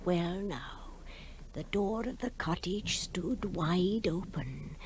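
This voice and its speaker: A woman's voice: fairly low pitch, takes it slowly, a little mellow, slight background noise. A woman playing an old granny who tells grisly fireside tales in a 1960s radio drama, grave and teasing, with hesitant, suspenseful asides. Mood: ominous.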